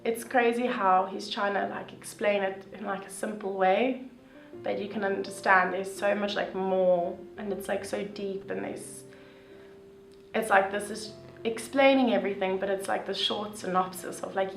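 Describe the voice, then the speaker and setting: Very gravelly young woman, roomy and distant. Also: mid-range voice, steady tone, normal speed. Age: young adult. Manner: casual, lots of "like". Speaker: young reader reacting to a story. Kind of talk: reading aloud, then casual reaction. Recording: roomy, distant, with faint music.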